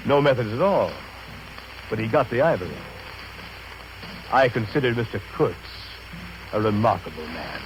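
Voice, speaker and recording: An actor playing a grave, reflective riverboat captain, narrating in solemn, literary phrases for a 1930s radio drama. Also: male voice, lowish voice, animated, normal speed, long pauses, background noise, natural voice. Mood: guarded. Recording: old radio broadcast recording.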